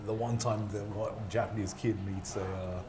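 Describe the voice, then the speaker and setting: A man's voice: on the low side, fairly even intonation, moderate pace. A man making casual, bantering interjections in conversation with friends. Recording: echoey room with crowd noise behind.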